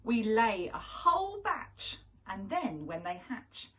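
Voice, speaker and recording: A woman voicing a patient, matter-of-fact mother sea turtle from a children's storybook, gently explaining. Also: on the high side, very animated, slow pace, recorded from a distance, full character voice.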